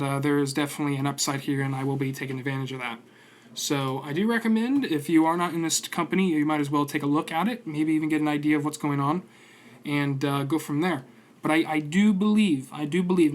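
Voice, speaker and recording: A man in his twenties talks through his stock picks in a loose, rambling way. His voice sits a bit high, and he keeps restarting sentences and filling gaps with ums and uhs. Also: a little hiss or hum. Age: 20s. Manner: rambling, many fillers and restarts. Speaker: stock trading streamer. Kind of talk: casual stock commentary stream.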